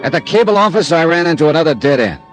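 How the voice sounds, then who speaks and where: An actor playing a tough, wry insurance investigator in a classic radio drama, clipped and sardonic. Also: male voice, a little high, lively intonation, quick pace, close to an everyday voice. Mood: dry narrating. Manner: clipped, sardonic, hard-boiled. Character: tough, wry freelance insurance investigator, narrating his case. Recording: vintage radio drama with music.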